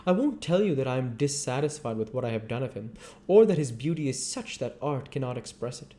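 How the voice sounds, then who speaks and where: A man voicing an earnest, devoted, brooding gentleman painter from a novel, with an intense and sincere manner. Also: medium pitch, expressive, a little fast, lightly acted.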